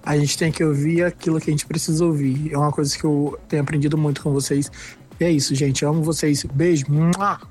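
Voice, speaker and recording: Chatty young man, mellow. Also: on the high side, fast talker, soft background music. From Brazil. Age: 20s. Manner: chatty, expressive, confiding. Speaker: listener sending a voice message. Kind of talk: recorded voice message.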